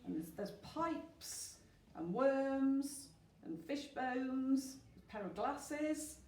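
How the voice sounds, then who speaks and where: Unhurried woman reading in a roomy space. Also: sits high. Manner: expressive, asks the listeners questions. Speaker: storyteller at a school. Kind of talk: rhyming children's story read aloud. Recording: roomy, distant mic with faint noise.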